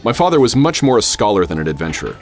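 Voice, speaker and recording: An actor playing an adventurous, wary gentleman professor, telling his exploits in measured, literary radio theater narration. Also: on the low side, big pitch swings. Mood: reflective. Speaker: narrator and lead character. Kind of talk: radio theater, dramatic first-person narration. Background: music.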